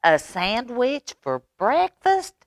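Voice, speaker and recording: Woman voicing eager little storybook child, pitched up. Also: huge pitch swings, takes it slowly, heavily acted. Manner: eager, simple, childlike exclamations. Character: excited little children, eager to please Mama.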